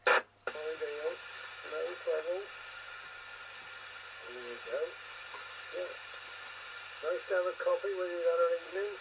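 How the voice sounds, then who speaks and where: Flat-toned British man, noisy CB radio. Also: on the high side, a little slow, long pauses. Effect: CB radio transmission. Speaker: CB radio operator. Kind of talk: casual radio chat.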